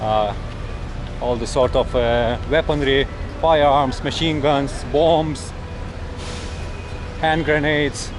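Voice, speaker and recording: A middle-aged man from Europe narrating a travel vlog as he walks busy city streets, halting and pausing often on filler sounds. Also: medium pitch, steady tone, music in the background. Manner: hesitant, halting, many filler pauses. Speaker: travel vlogger. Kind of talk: casual walking narration. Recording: outdoor street noise.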